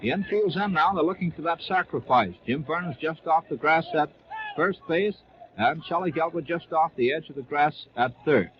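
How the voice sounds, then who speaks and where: High-voiced American man, vintage radio baseball commentary. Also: normal speed. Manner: clipped present-tense phrases, narrating each move. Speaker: radio baseball play-by-play announcer. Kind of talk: 1930s live sports radio commentary. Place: live at a ballpark. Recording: muffled, noisy 1930s AM radio broadcast.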